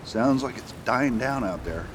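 A man's voice: medium pitch, animated, unhurried, background noise, lightly acted. Guy doing a stubborn old-west marshal, earnest, voice bounces up and down a lot. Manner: earnest, insistent. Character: determined male US marshal, stubborn and dutiful. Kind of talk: amateur radio drama acting.

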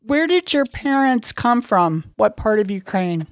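A woman hosting a weekly radio interview show, giving measured, formal introductions and asking questions. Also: a little high, sing-song, dry recording, studio quality. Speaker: radio host.

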